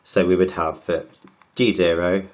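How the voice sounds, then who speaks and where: A man with a cold, croaky and sniffly, working slowly through textbook maths and often repeating words as he writes. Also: deep voice, little pitch movement, dull audio. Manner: halting, repeats words while writing. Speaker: maths tutor.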